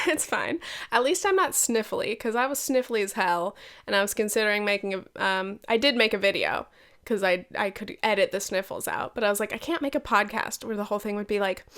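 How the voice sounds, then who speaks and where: A woman's voice: mid-range voice, fairly bright tone, clean tone. A young woman in her twenties, nasal and congested from a head cold, hosting a casual solo podcast with chatty, swearing, self-deprecating asides. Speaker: podcast host.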